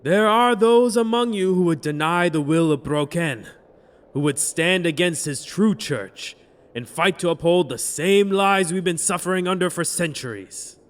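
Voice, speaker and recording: Self-proclaimed young prophet: high-pitched, zealous, declamatory orator for fantasy audio drama. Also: male voice, a little slow. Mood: dejected, accusing. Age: young adult.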